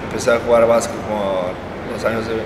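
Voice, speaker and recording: Young texan guy, halting w/ lots of "ah", sounds far off in an echoey noisy room. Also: fairly low pitch, level tone. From El Paso, Texas, United States. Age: young adult. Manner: halting, with "ah" fillers and English words slipping in. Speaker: basketball player, interviewee. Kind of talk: casual interview answers. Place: indoor room, seated at a table. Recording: echoey room, distant mic, noise.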